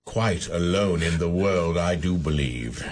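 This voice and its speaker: A man's voice: sits low, unhurried, a little hiss or hum. A man playing a cheerful, affectionate husband and father in a radio drama. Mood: jovial. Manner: jovial, affectionate, animated. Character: cheerful middle-aged family man, Belle's husband.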